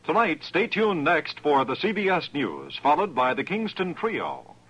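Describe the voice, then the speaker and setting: Husky American male station announcer. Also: on the high side, very animated, a little slow, fairly bright tone. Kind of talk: 1950s radio program promo. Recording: dull old radio broadcast recording.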